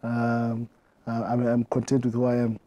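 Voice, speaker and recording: Man, middle-aged, Zimbabwean accent, soft mellow tone, reflective interview guest, halting conversational delivery. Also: medium pitch, monotone, lo-fi sound.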